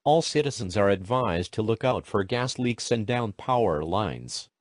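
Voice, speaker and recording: Male alert announcer, lowish pitch, very dry sound, formal and robotic scripted warnings. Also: lively intonation, unhurried, no room sound.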